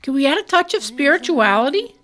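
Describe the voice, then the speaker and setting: A woman playing a quick-witted, reasonable companion with dry asides in scripted comedic radio theatre. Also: high voice, very animated, a bit bright. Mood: thoughtful.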